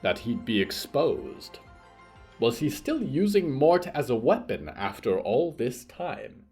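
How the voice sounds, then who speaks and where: Man, husky tone, animated intonation, theatrical horror storytelling, formal measured phrasing. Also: mid-range voice, soft background music. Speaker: narrator of a horror fiction podcast. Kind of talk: dramatic scripted narration.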